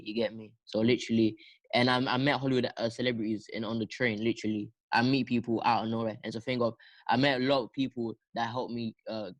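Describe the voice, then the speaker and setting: A man's voice: medium pitch, dry recording, low-quality recording. Teenage boy, dry sound. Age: teens. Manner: rambling, laughing, slangy with many fillers. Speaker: podcast guest. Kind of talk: casual chat.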